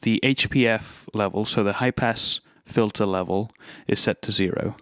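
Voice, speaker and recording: A man's voice: fairly low pitch, moderate pace, fairly bright tone, dry recording. A man presenting an instructional gear demonstration, explaining methodically, step by step. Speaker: tutorial presenter.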